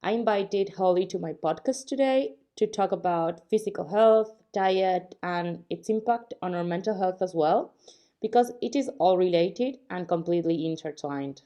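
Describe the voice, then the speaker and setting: A woman hosting a podcast, reading an earnest introduction and then gently asking her guest questions. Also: fairly low pitch, moderate pace. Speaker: podcast host.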